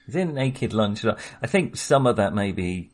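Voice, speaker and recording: Give me a man with a low, husky voice chatting hesitantly about a film. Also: very animated, measured pace. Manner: hesitant, many restarts and small laughs. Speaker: podcast co-host. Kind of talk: casual film discussion.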